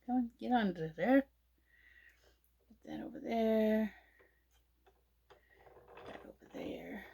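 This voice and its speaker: A woman walks through a yarn-winding tutorial in an easygoing, unhurried way. She is sniffly from a cold, and her speech comes slowly, with frequent pauses and filler words. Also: fairly high pitch, vocal fry, warm tone, slight background noise. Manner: slow, chatty, with many fillers and pauses. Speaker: crochet channel host. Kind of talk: casual crafting tutorial.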